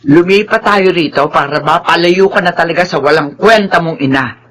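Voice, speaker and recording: Domineering low-voiced Filipina grandmother, played by an actress. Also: moderate pace, lightly acted. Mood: scornful, boastful. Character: domineering wealthy grandmother, doting yet controlling and spiteful. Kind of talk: Filipino radio drama acting. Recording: noisy radio drama track with ambience.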